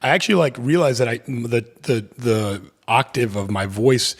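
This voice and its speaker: A deep-voiced man in his thirties tells his story as a podcast guest. He rambles loosely, piling up fillers and false starts, always casual and open. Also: lively intonation, normal speed, husky. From Miami area. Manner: rambling, many fillers and restarts.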